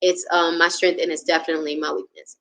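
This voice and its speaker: A woman guest on a casual live stream, reflective and soft-spoken, speaking openly about herself. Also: fairly low pitch, level tone, fast.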